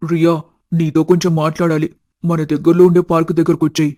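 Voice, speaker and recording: A man's voice: a little high, flat delivery, fairly quick, warm tone, no echo, studio quality, close to an everyday voice. A man playing an earnest, lovestruck young man with firm principles in scripted cartoon story dialogue.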